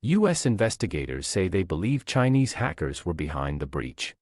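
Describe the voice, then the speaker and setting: A man's voice: low voice, sing-song, normal speed, darkish tone, no room sound, clean studio sound. A man reading a prepared passage aloud as a practice narration.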